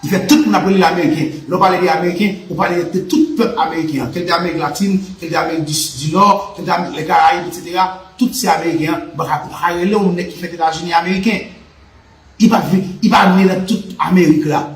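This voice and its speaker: Haitian guy w creole accent preaching history, high voice, echoey room. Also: fairly even intonation, fast. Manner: fervent, repetitive, preaching cadence. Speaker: channel host. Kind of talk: live political and history talk.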